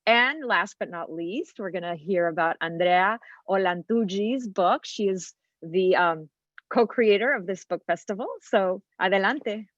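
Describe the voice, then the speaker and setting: A woman's voice: mid-range voice, measured pace, no room sound. A woman hosting a book fair on a video call, introducing the next speaker. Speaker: event host. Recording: dull dry video-call audio.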